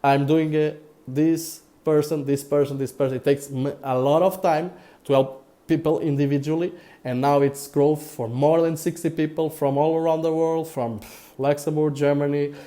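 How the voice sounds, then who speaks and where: A man from Portugal with a Portuguese accent, talking casually on a live broadcast, halting with many fillers and repeated words. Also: fairly high pitch, steady tone, dark tone. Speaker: crypto investor vlogger.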